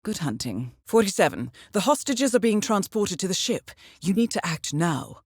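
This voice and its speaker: An actress playing a poised, dryly amused intelligence handler who briefs an assassin in a video game, measured and formal. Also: female voice, medium pitch, very animated, husky, no echo, clean studio sound. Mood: composed briefing. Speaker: mission handler.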